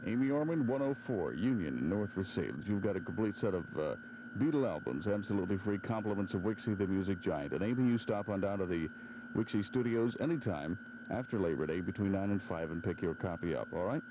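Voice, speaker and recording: An American man working as a 1970s pop radio DJ, running a phone-in giveaway and repeating details deliberately. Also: low-pitched, lively intonation. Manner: repeats and spells things out deliberately. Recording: noisy, dull old AM radio aircheck with hum.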